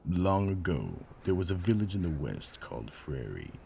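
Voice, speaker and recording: A man narrating an eerie mystery radio drama in a theatrical storytelling style, with dramatic pauses. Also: deep voice, sing-song, creaky, noisy background.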